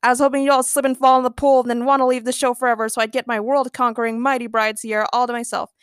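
A woman's voice: a little high, level tone, quick pace, clear, resonant tone, no echo, studio quality, close to an everyday voice. A woman voicing a whiny, nerdy male villain who proclaims his schemes melodramatically in a story. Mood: boastful, threatening. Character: nerdy villain Nur, whiny megalomaniac man.

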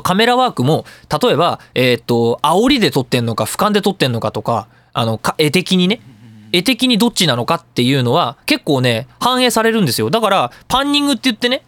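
A man hosts a casual radio talk show in a dry studio sound. His fairly high voice swings freely in pitch as he explains things politely, tossing in jokes at his own expense. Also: fairly bright tone, no echo. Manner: polite, self-joking, explanatory. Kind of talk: casual solo talk show on audio production. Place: recording studio.

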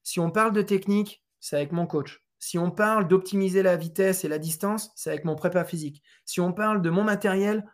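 A man's voice: sits high, brisk, hardly any pauses. A French man giving conversational interview answers about golf data, hesitant, with many fillers and restarts. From France. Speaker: Trackman representative on golf tours.

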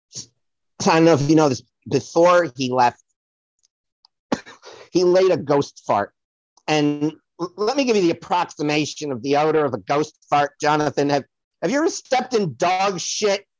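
A woman's voice: fairly low pitch, no room sound, close to an everyday voice. A woman playing a brash, foul-mouthed, rambling celebrity psychic in a comedic podcast audio play. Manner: theatrical, digressive, addressing the host by name. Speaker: guest playing a comic psychic. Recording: dull lo-fi dry audio.

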